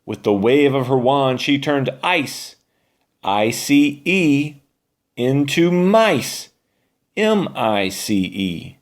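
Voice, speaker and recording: A man reading a children's story aloud as narrator, expressively, with dramatic pauses. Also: mid-range voice, lively intonation.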